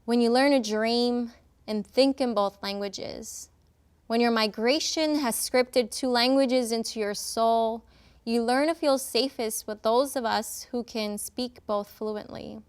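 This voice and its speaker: A young adult woman from Nicaragua whose first language is Spanish, delivering an impassioned, deliberately phrased spoken-word talk. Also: a little high, steady tone, clean tone. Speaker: speaker.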